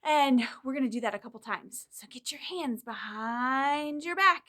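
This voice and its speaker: Female children's storytime leader, bright and high, encouraging delivery aimed at little ones. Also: normal speed. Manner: animated, encouraging, speaks directly to young children. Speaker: children's librarian leading storytime. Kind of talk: children's storytime with singing and reading aloud.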